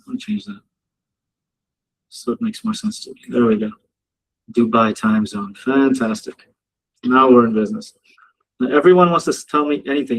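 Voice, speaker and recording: A man with a gravelly, husky voice runs a relaxed live session on the markets. He jokes with his viewers and laughs often. His talk wanders easily from the charts to small talk. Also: medium pitch, poor audio. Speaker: trading mentor hosting a live session. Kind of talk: casual live-stream commentary. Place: home office in Dubai.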